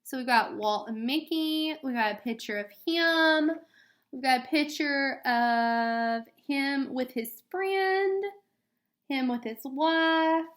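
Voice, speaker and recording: A woman, a teacher, reading a picture book aloud to children in an expressive storytelling way. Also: sits high, slow pace. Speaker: elementary school teacher. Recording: echoey room sound.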